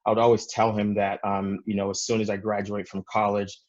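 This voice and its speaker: Even, low-voiced young man, dull audio. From Ghana. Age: young adult. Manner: halting, frequent "you know" fillers. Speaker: podcast guest, real estate investor. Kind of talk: conversational personal storytelling.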